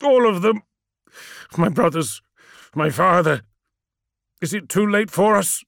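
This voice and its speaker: A man's voice: on the high side, very animated, normal speed, creaky, a bit bright, no room sound, heavily acted. A towering male demigod warlord, imperious and broken by anguish. His raised voice swings widely as he declaims, recorded dry and close. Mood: anguished confusion.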